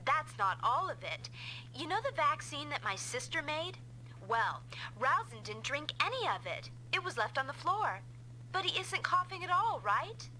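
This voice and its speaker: Bright, high-pitched, inquisitive young heroine. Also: female voice, sing-song, moderate pace, a little hiss or hum, heavily acted, rough recording. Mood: suspicious, insistent. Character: young female pilot partner, inquisitive, alert, persistent. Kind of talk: anime-style English video game dub.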